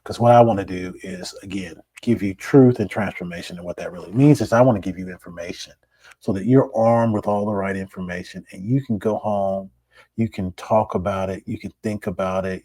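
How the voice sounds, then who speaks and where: Middle-aged man, raspy and hoarse, low voice, dry close sound; easygoing solo podcast host. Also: dry recording, rough recording. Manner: relaxed, conversational, repeats words. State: hoarse after illness. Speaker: plastic surgeon podcast host.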